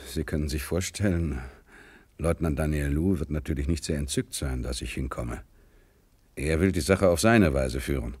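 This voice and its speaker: An actor playing a calm, authoritative middle-aged police commissioner in a radio crime play, terse and probing. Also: male voice, extremely low pitch, normal speed, breathy edge. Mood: quiet, reassuring. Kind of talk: German radio play acting.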